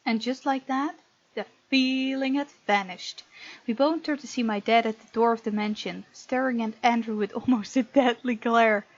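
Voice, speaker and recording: A woman's voice: fairly high pitch, fairly even intonation, moderate pace, no echo, muffled recording. A woman hosting a gaming video, reading game text aloud and breaking off to comment playfully.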